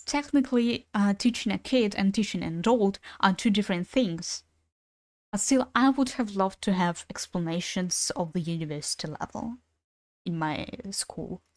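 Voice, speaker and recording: Young woman, Ukrainian native speaker, casual stream chatter, mid pitch, dry recording. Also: darkish tone, dry recording. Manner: chatty, swears casually, laughs between thoughts. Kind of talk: casual gaming stream chat.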